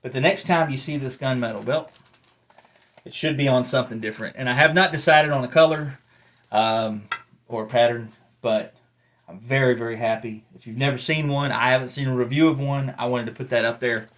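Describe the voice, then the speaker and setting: A man's voice: medium pitch, brisk, fairly bright tone. A man talking casually to camera in a rambling review, with a blunt, self-deprecating manner. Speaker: vlogger and wrestling belt collector reviewing a belt.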